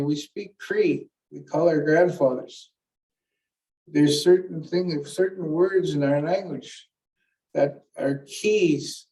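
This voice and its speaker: A man's voice: a little high, warm tone. A man from Canada giving a keynote as an elder, teaching earnestly through stories and retold conversations. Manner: earnest, teaching, retells conversations with many restarts. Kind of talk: keynote talk with storytelling. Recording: voice picked up from a distance.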